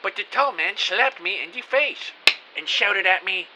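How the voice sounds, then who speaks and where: A man's voice: sits high, expressive, unhurried, pushed and bright, heavily acted, poor audio. A man playing a hapless, anxious everyman, narrating in the first person in an earnest, theatrical way for an audio drama. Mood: tense narration. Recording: faint hiss.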